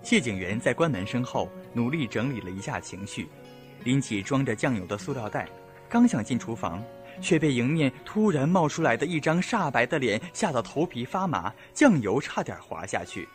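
A man's voice: mid-range voice, big pitch swings, moderate pace. A man reading scripted narration for an audio drama. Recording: music playing behind.

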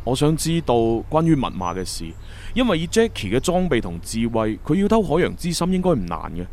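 An actor playing an earnest, analytical young time agent in a Cantonese sci-fi radio drama, with a Hong Kong accent. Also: male voice, on the high side, big pitch swings, brisk, darkish tone, a little hiss or hum. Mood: probing, earnest.

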